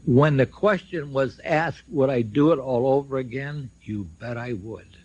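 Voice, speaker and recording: Older male speaker, husky and unhurried, emphatic public storytelling on a dull lo-fi radio recording. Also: medium pitch, darkish tone. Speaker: speaker at a symposium, recounting his own legal case. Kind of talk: public speech, personal storytelling.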